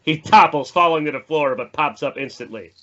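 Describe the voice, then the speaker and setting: A man's voice: on the high side, brisk, a bit bright, muffled recording. A man reading stage directions as narrator in a scripted podcast table read.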